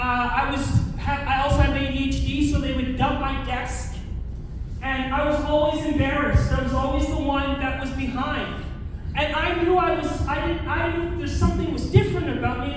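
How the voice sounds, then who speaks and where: High-voiced man in an echoey hall. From Calgary, Canada. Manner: engages the audience, tags lines with "right?"; restarts and repeats words often. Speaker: keynote speaker. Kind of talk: personal storytelling keynote. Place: large conference hall with an audience. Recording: echoey hall from far off, audience noise.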